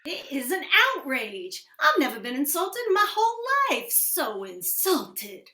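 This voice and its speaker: A woman's voice: high voice, very animated, a little slow, pushed and bright, reverberant, full character voice. A woman playing a proud, bossy small-town wife in a radio western, huffy and emphatic. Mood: outraged. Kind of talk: old-time radio western acting.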